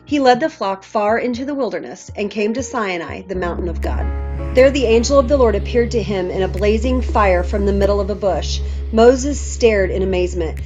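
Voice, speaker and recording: Female scripture narrator, scripted read-along, middle pitch, soft lo-fi sound over music. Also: animated, normal speed. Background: background music.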